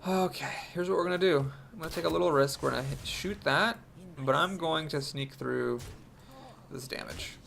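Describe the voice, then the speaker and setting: A man's voice: fairly high pitch, moderate pace, vocal fry, fairly bright tone, a little hiss or hum. A young man with a congested voice from a cold, thinking aloud as he commentates his own card game. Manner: analytical, thinks aloud about plays. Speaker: game streamer.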